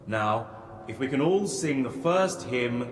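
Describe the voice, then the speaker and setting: Funeral clergyman: male, medium pitch, solemn, measured, formal, reverberant church echo. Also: lively intonation. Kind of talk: solemn funeral address in radio drama. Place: large church interior. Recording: echoey, reverberant church hall.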